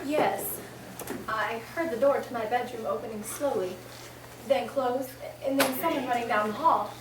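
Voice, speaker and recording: A woman playing a frail, anxious wife with a halting manner in a radio mystery. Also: on the high side, lightly acted. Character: frail, nervous, frightened wife. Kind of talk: old-time radio mystery acting. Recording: echoey, distant stage, noisy background.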